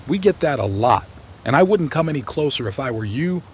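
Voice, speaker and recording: A man's voice: medium pitch, expressive, a little fast, husky. A man playing a weary, wry civilian leader of an outcast colony in a dramatized audio comic. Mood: bitter. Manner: wry, pointed.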